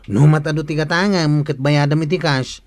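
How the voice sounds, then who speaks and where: A man's voice: on the high side, fairly even intonation, moderate pace, lightly acted. A man playing a plain-spoken village customer in a comedic radio drama, with a Northern Philippine accent and an indignant, explaining manner. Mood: advising, exasperated.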